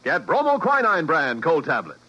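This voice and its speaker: A man reading an emphatic sales pitch as a commercial announcer on 1950s radio. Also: huge pitch swings, normal speed, bright, forceful tone, faint music behind. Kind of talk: 1950s radio advertising read. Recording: muffled vintage radio recording.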